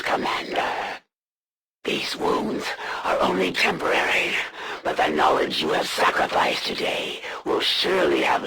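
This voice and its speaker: A woman playing a proud, menacing alien assassin in a video game, speaking in a formal, solemn way with a gravelly rasp. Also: low voice, background noise, full character voice. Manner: formal, solemn, haughty, deliberate delivery. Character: proud female alien assassin, honor-bound, menacing, serving the Elders. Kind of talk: theatrical video game villain lines.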